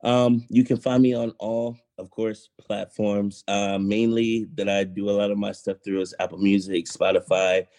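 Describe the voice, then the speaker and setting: A young man, a musician guest on a podcast, speaking in a relaxed, matter-of-fact way. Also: lowish voice, normal speed, few pauses. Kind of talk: casual interview chat.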